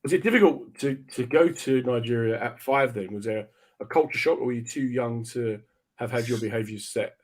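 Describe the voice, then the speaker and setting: A male radio host chats casually with a guest. His pitch is average for a man, and his talk is informal and bantering, moving along with short prompting questions. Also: brisk. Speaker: radio show host, interviewer. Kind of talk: casual chatty interview.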